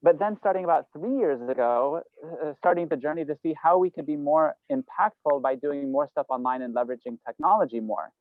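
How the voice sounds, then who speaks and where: A man's voice: on the high side, fairly quick, no room sound. A man from Lebanon introducing himself on a video call, rambling and correcting himself with many fillers. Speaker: entrepreneurship program manager. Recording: dry, phone-like call audio.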